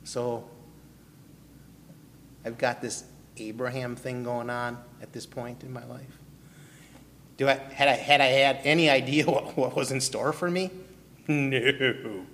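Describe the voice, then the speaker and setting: A man's voice: mid-range voice, fairly even intonation, slow pace, long pauses, a bit bright, a little hiss or hum. A man from Milwaukee preaching a sermon with a personal testimony, putting questions to the listeners and calling on them by name. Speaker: pastor. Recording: long hall reverb.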